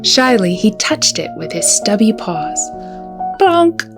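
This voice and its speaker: A woman presents a storytime for children. She reads picture books aloud as a storyteller at a middling pitch, with music clearly heard behind her voice. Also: big pitch swings, slow pace. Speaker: children's librarian hosting storytime.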